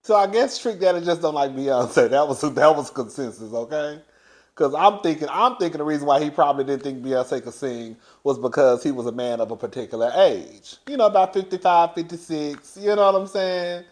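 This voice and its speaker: Thirtysomething guy gossiping on his channel, laughs a lot, kinda high voice. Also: fairly quick. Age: 30s. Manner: animated, laughs often, chatty. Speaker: host. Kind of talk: gossip vlog monologue.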